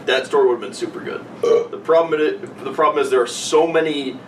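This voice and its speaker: A young man bantering casually on a podcast, animated and profane as he talks. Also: a little high, a little fast. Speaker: podcast panelist. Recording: roomy, distant mics, some noise.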